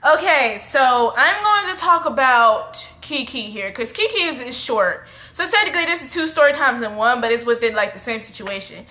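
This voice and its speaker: A woman's voice: on the high side, animated, moderate pace, few pauses, bright, forceful tone. A woman in her twenties from the Dallas suburbs with a suburban Texas accent, chatting in a rambling, self-correcting way as she tells a story to camera. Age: 20s. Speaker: vlogger.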